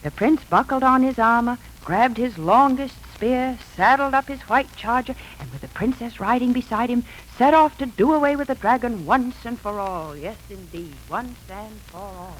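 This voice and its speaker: An actress playing a gentle, hopeful young wife and mother, tender and expressive in 1940s radio drama style. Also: fairly high pitch, moderate pace, few pauses, slight background noise, close to an everyday voice, poor audio. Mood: storytelling. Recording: vintage radio recording with music.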